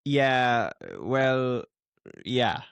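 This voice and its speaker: A man's voice: medium pitch, extremely slow, fairly bright tone, clear, resonant tone, no room sound. A young man from Slovenia co-hosting a casual podcast, laid-back and chuckling often. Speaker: podcast co-host. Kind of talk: casual chat.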